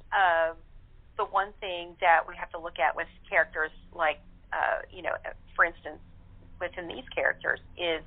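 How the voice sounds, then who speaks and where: A woman guest in a reflective discussion, speaking earnestly and philosophically with deliberate phrasing. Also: on the low side. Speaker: radio-play cast member, guest. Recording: narrow telephone line.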